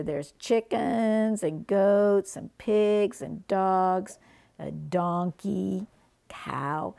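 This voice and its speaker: An older woman reading a picture book aloud to children like a grandmother, phrasing things deliberately and chatting about the pictures. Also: mid-range voice, creaky, a little mellow. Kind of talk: read-aloud storytelling with chatty asides and animal sounds.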